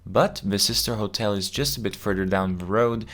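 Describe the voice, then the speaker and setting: Low-voiced man narrating a travel vlog. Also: normal speed, fairly bright tone. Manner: chatty, explanatory, playful asides. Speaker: travel vlogger.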